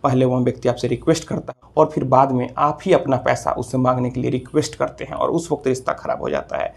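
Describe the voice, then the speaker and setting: A man's voice: mid-range voice, animated, quick pace, vocal fry, breathy edge. A man, giving explanatory advice to camera as a video host in an instructive, repetitive way. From India. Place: small office room, indoors.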